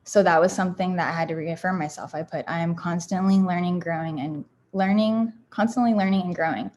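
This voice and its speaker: A woman's voice: lowish voice, fairly quick, darkish tone, slight background noise. A woman giving a casual motivational talk about affirmations, candid and rambling, with many fillers and restarts. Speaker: founder sharing self-help affirmations.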